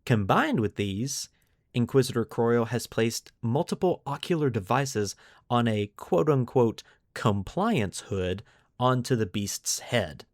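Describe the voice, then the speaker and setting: A man's voice: mid-range voice, expressive, measured pace, no echo, studio quality. A man hosting a podcast, explaining lore to friends in an informal, enthusiastic way. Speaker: podcast host.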